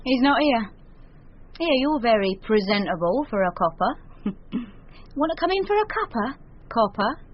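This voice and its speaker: An actress playing a blunt, flirty, unsentimental London wife in a radio drama, offhand and colloquial. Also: female voice, on the high side, sing-song, a little slow. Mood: flirty, brash. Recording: muffled radio recording.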